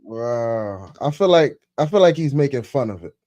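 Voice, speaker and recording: Male co-host, mid pitch, dry sound, quiet and off-axis, slangy. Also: expressive, normal speed, no echo. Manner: soft-spoken, often off the mic, slangy. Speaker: podcast co-host. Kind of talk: casual chat. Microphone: off-axis studio mic.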